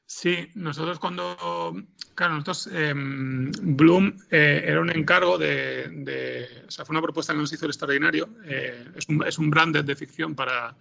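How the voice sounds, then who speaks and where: A man's voice: fairly high pitch, steady tone, moderate pace, vocal fry, sharp tone, muffled recording. A man with an accent from Spain giving unscripted interview answers as a writer, hesitant and rambling, often repeating words. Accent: Spanish from Spain. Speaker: interview guest, podcast scriptwriter.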